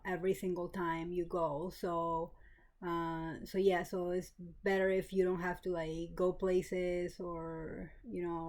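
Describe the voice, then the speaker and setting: Woman in her 30s from peru, vlogging, slow and flat, lots of ums and uhs. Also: lowish voice. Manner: hesitant, many fillers and restarts; plain, matter-of-fact telling. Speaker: kidney donor. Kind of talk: casual personal vlog.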